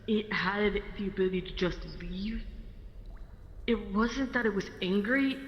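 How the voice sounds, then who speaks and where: A roleplay gamer talks casually, explaining things to the group. The voice is medium in pitch. It sounds muffled, with a strong echo. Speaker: roleplay game player. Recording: muffled, echoey reverberant room, faint noise.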